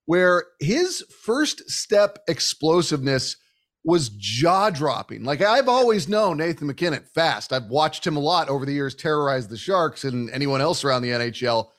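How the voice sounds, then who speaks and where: A man's voice: a little high, sing-song, normal speed. A man hosting a conversational sports interview, speaking with enthusiasm and emphasis. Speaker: sports show host.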